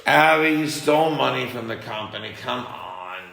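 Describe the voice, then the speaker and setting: A man's voice: mid-range voice, expressive, moderate pace, gravelly, a bit bright, echoey room, slight background noise. A man in a heated personal phone argument, speaking in a confrontational, insistent way and often repeating himself. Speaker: parent on a phone call.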